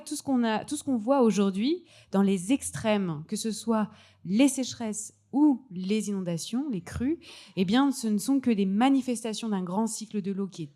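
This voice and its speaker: Mellow Frenchwoman explaining as an expert. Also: mid-range voice. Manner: didactic, articulate. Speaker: panel guest, water expert. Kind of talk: explanatory panel talk.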